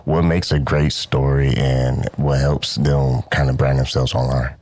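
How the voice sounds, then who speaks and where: A man's voice: a bass voice, little pitch movement, a little slow, darkish tone. A man hosting a casual interview, putting questions in an informal, buddy-like way. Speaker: interviewer, show host.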